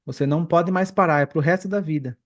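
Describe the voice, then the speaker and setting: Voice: mid-range voice, quick pace, heavy background noise. Brazilian podcast host in casual conversation. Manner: agreeing, tags statements with questions. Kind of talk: casual interview chat, summing up the guest's points.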